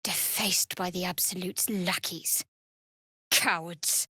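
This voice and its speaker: A woman playing a guarded, sardonic young cleric of a dark goddess in a fantasy video game, speaking in a dry, measured, wry way. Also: lowish voice, fairly even intonation, husky, no echo, full character voice. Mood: scornful.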